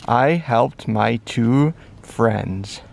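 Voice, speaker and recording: A man voicing a big friendly moose with a slow, simple manner in a children's animal story. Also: medium pitch, gravelly, a little hiss or hum. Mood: proud. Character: big friendly slow moose who loves eating grass.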